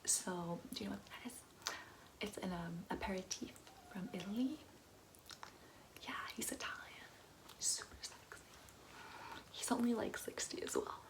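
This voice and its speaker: Woman, breathy whisper, soft-spoken ASMR instructor role: ditzy materialistic gold-digger, chatty, girlish, prone to rambling asides. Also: medium pitch, normal speed, long pauses. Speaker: ASMR roleplayer playing a class instructor.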